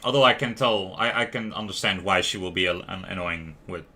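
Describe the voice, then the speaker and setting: A man's voice: low voice, brisk, background noise. A man giving casual live gameplay commentary as a streamer, rambling and stumbling over words. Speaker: game streamer.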